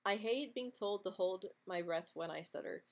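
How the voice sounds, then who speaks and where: A young woman who stutters, answering viewer questions in a casual live stream, speaking softly with frequent repeated sounds and light laughs. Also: medium pitch, moderate pace. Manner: soft-spoken, laughs lightly between answers.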